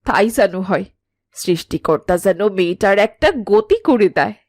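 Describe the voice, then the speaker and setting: A woman voicing an exasperated middle-aged village mother in an animated cartoon, nagging with sharp-tongued emphasis. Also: medium pitch, moderate pace, no echo, clean studio sound. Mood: worried.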